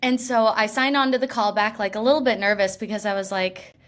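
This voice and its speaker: A woman's voice: medium pitch, steady tone, a little fast. Chatty young woman with frequent laughs. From Washington state, US. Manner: chatty, laughing, many "like" fillers and restarts. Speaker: actress, interview guest. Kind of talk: casual interview chat.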